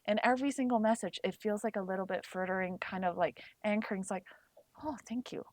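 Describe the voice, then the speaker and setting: A woman whose first language is Cantonese speaks as a guest in a reflective, casual conversation. Her pitch sits in the middle range, and she voices quoted reactions as she goes. The sound is dry and close. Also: fast, a little mellow, no room sound.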